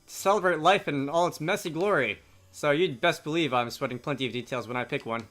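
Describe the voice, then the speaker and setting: Man acting worldly game wizard, jovial, opinionated drinker, bright tone, slightly raised pitch. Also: a little fast, lightly acted. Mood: jovial, boastful.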